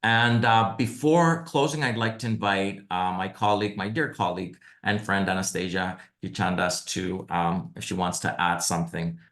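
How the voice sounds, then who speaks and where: A man presenting at an online panel as a university representative, speaking haltingly with many fillers. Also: deep voice, fairly even intonation, a bit bright, reverberant.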